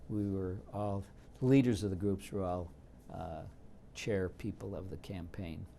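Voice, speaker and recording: Older man in his seventies, Yiddish first language, gravelly low voice, unhurried interview storyteller, light background hiss. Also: fairly even intonation, warm tone. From Detroit, United States. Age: 70s. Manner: reminiscing, unhurried, with frequent fillers. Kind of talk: oral history interview.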